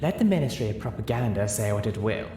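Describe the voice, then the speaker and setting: A man voicing a smarmy, over-gracious and dismissive gentleman pony stallion in a story reading. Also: on the low side, lively intonation, fast talker, music in the background, natural voice. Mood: smooth, dismissive. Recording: roomy, clearly noisy home recording.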